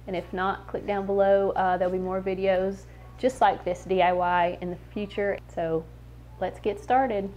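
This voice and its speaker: A woman's voice: mid-range voice, steady tone, normal speed, a little mellow, lo-fi sound, slight background noise. A woman in her thirties giving casual how-to narration as the host of a craft tutorial.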